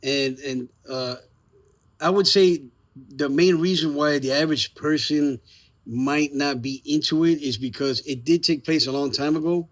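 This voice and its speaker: A man's voice: a little high, unhurried. Informal, emphatic man chatting casually. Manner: informal, emphatic, lightly profane. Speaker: playwright and theater maker promoting a play. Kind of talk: casual promotional chat. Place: outdoors in the evening.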